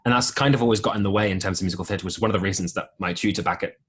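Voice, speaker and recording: Deep-voiced young man, husky and low. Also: very fast. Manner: relaxed storytelling with many ums and restarts. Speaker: podcast guest, musical theatre performer. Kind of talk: casual interview chat.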